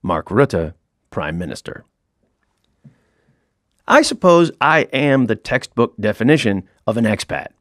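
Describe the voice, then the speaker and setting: A man's voice: lowish voice, sing-song, measured pace, long pauses, no echo, studio quality. A middle-aged man reading his own comic audiobook in a wry, self-mocking storytelling manner.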